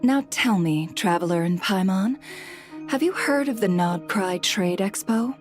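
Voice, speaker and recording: A woman's voice: medium pitch, animated, unhurried, close to an everyday voice. A woman playing a courteous, calculating guild boss in a video game, speaking in a composed, businesslike manner. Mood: courteous, informative. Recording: game music behind the voice.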